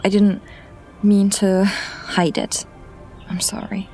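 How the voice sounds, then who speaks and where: A woman's voice: mid-range voice, level tone, takes it slowly, a little mellow. A woman voicing Lyra, a guilt-ridden young cat. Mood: uneasy. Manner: hesitant, apologetic, defensive. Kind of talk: audio drama acting. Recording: music underneath.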